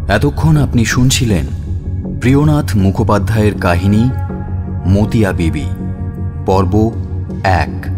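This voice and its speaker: A man narrating a scripted suspense story for radio in a measured, dramatic storytelling manner. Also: fairly low pitch. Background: music.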